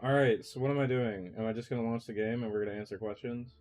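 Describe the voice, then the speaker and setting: Excitable young man streaming a game. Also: mid-range voice, quick pace, faint music behind. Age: 20s. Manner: excitable, swears, laughs easily. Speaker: mod developer streaming gameplay. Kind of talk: casual live stream chat.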